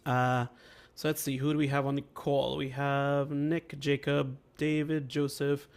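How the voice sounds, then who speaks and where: A man with a voice worn from a flu, hosting an online workshop and teaching mixing in a casual, halting way that keeps checking in with listeners over a video call. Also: medium pitch, level tone, vocal fry. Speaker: workshop host and mixing instructor. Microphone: video call microphone.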